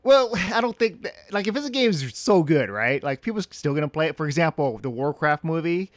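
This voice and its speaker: A man's voice: a little high, animated, a little fast, no echo, studio quality. A man hosting an interview in casual chat, agreeable and heavy on fillers. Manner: agreeable, frequent fillers and backchannel. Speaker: interviewer, host.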